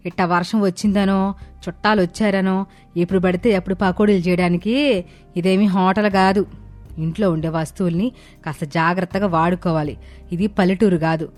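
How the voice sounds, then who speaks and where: A woman playing a scolding, scheming older mother-in-law in a dramatised family story, with a sharp, accusing manner. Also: lowish voice, fairly even intonation, a little fast, soft background music, lightly acted. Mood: stern scolding. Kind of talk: dramatised moral story acting.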